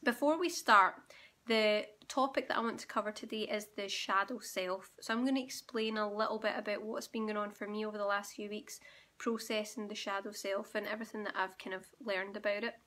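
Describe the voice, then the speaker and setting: A woman with a croaky, sore-throated voice, gently explaining spiritual ideas straight to her viewers while talking at home. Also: medium pitch, fairly even intonation, a little fast. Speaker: spiritual awakening guide and channel host. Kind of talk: direct-to-camera teaching monologue.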